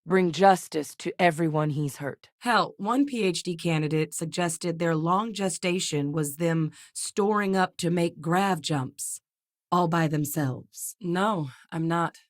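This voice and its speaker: An actress voicing an earnest female military commander in a video game, with a firm, measured delivery. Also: lowish voice, dry recording, studio quality. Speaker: game character.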